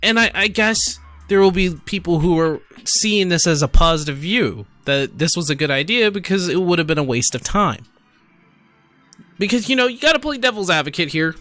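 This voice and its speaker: Male gaming streamer, bright forceful tone, very lively pitch, casual exasperated commentary. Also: moderate pace, dry recording, soft background music. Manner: exasperated, incredulous, emphatic with repetition. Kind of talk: casual commentary reading forum posts aloud.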